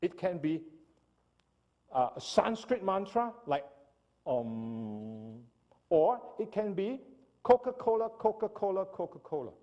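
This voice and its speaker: A man from Singapore giving an animated church seminar talk and often asking his listeners "right?". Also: high-pitched, slow pace, long pauses, highs cut off. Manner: animated lecturing, asks audience "right?". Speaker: speaker.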